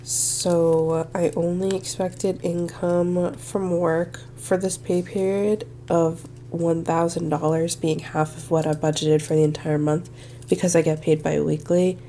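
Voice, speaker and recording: A young woman walks through her budget in a friendly, think-aloud way, her voice a little nasal from congestion. She speaks in a low, mellow tone with very little rise and fall. A faint hiss sits under the recording. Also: level tone, unhurried. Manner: friendly, thinks aloud while working numbers. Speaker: budgeting channel host. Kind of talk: casual budgeting walkthrough to camera.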